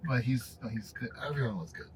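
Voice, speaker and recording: A man chatting casually in a halting, repetitive, approving way. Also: medium pitch, recorded from a distance. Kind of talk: casual chat. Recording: loud vehicle noise, off-mic.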